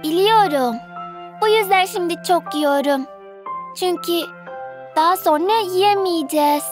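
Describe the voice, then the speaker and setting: Tiny playful 5 yr old cartoon girl, super high n slow, music playing. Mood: stubborn. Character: five-year-old girl, eager, naive and playful. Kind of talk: cartoon dub acting.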